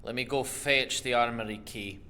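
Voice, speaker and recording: An actor playing a bitter, blunt ex-army farmer with debts and a taste for drink in a fantasy audio drama. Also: male voice, mid-range voice, normal speed, bright, forceful tone. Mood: curt. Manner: blunt, curt, grudging.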